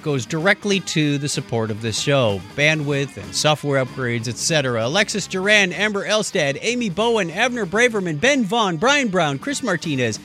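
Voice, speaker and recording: A man's voice: fairly high pitch, big pitch swings, normal speed, few pauses, bright, forceful tone, soft background music. A man co-hosting a podcast in a casual, playful, chatty style. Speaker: podcast co-host. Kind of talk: casual chat and listener thanks.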